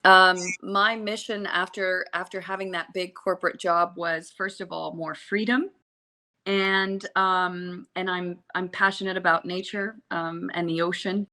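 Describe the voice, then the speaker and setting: A woman's voice: lowish voice, fairly even intonation, a little slow, bright, forceful tone. A woman with an American accent, originally from the Seychelles, telling her own story as a podcast guest in a chatty, earnest, meandering way. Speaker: podcast guest, travel journalist and social media consultant. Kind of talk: conversational interview, personal storytelling.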